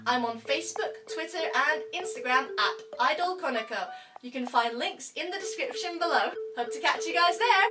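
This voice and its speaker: Bubbly high-voiced young woman. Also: expressive, normal speed, recorded from a distance, poor audio. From Scotland. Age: young adult. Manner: bubbly, playful. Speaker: YouTuber answering fan questions. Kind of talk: Q&A vlog. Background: loud background music.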